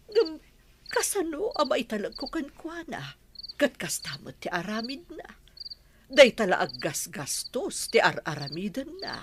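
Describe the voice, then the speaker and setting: A woman with a Filipino Ilocano accent playing a worried, weary older mother who runs a family business in a radio drama. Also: lowish voice. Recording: noisy track with clicks and insect sounds.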